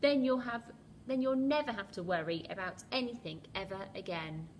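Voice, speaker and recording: A woman's voice: normal speed, slight background noise, close to an everyday voice. Woman doing a squealing rich crocodile. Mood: excited. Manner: excited, pleading, high-pitched exclamations. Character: rich, eager, lonely male crocodile.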